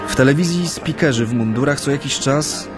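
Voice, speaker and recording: An actor plays a weary, earnest man in his thirties, an exiled Japanese activist in a radio drama. His voice sits at a middle pitch, intimate and at times hesitant, with music playing underneath. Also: natural voice. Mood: sombre. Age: 30s. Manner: hesitant, halting when addressing people. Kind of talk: radio play acting, intimate first-person narration.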